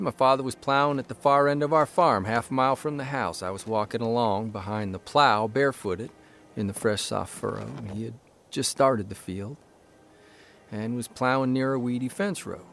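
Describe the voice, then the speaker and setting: A man playing an earnest, literate grown farm son, reading a measured, reflective memoir monologue in an audio drama. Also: mid-range voice, a bit bright, faint music behind, lightly acted. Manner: measured, reflective, precise wording.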